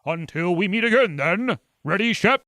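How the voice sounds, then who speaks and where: Guy doing a bold adventure hero for a radio play, highish voice, super animated, urgent and declamatory. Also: moderate pace. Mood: rousing. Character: heroic, bold male adventure scientist. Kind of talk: old-fashioned radio adventure serial acting.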